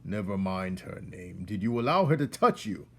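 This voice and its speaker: A stern old head mouse of a storybook council, acted out by a man, declaiming with pompous, indignant authority. The voice is husky and swings up and down with formal emphasis. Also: fairly low pitch, very animated, moderate pace.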